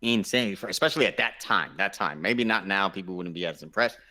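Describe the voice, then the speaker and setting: A man's voice: low-pitched, expressive, fairly quick, sharp tone. A man co-hosting a casual chat, giving his opinions emphatically and repeating himself for stress. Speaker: podcast co-host.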